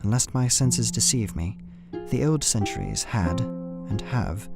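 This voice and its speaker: A young Victorian gentleman, played by a male actor, recounts his journal as an uneasy prisoner in a gothic castle. His voice is fairly low and his delivery measured and literary, in radio play style, with prominent music underneath. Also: expressive, vocal fry. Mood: wistful, uneasy. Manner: measured, literary, hushed in places. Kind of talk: dramatic first-person journal narration.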